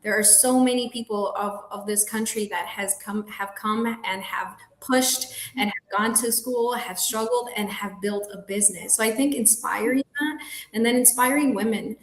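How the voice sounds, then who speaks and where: A woman's voice: medium pitch, moderate pace. A woman in her thirties from Colombia, a realtor speaking English as her second language, talking earnestly in an interview, often laughing and restarting her sentences. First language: Spanish. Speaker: guest, realtor. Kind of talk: casual interview talk. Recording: echoey room.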